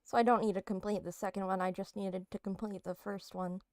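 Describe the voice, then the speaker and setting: A woman streaming a video game, talking casually in a medium-pitched voice. Her commentary is informal and unscripted, as if thinking aloud while she plays. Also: fairly even intonation, brisk.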